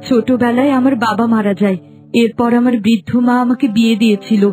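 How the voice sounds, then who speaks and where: A woman's voice: a little high, fairly even intonation, a little fast, a little mellow, faint music behind, natural voice. A woman voicing a meek, long-suffering young wife in a cartoon, plaintive and lamenting. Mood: sorrowful. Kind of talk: Bengali cartoon voice acting.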